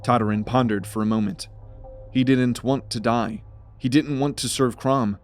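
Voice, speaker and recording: A man's voice: mid-range voice, fairly even intonation, moderate pace, faint music behind. A man narrating a fantasy audio drama as a scripted, dramatic story.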